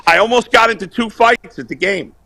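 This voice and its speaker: A man calling in to a sports talk show, loud, blunt and opinionated, bantering casually over a video call. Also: sits high, brisk, vocal fry. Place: remote video call while on vacation.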